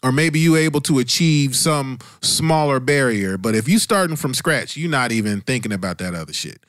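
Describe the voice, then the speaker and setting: A man's voice: mid-range voice, animated, normal speed, a bit bright, no room sound, clean studio sound. A man with an American accent in a casual, opinionated discussion, speaking informally with slang and some cursing. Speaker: podcast panelist.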